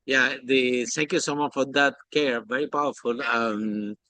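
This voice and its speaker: Bright-voiced host, non-native English speaker. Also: male voice, mid-range voice, a little slow, dry recording. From lived in London. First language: not English. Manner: animated, non-native grammar, frequent "okay?". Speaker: podcast host. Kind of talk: casual interview chat.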